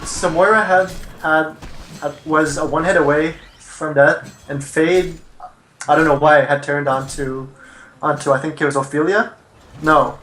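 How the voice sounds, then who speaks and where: Halting man commentating in an echoey room. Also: on the high side, steady tone, fairly bright tone. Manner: halting, restarts while explaining. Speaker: esports color commentator. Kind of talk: live game analysis. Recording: roomy echo with faint noise.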